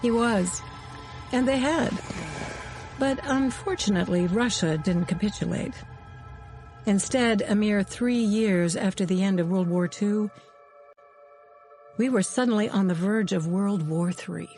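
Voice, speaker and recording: An actress playing a woman intelligence veteran, narrating her memoirs in a measured storytelling manner for a radio drama. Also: mid-range voice, a little mellow, close to an everyday voice. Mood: measured, wry. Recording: studio voice over prominent music.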